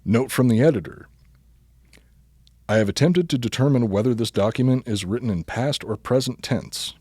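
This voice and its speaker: A man reading a comic bedtime story aloud as a podcast host, mostly deadpan but prone to giggling. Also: medium pitch, normal speed, husky, no echo, studio quality.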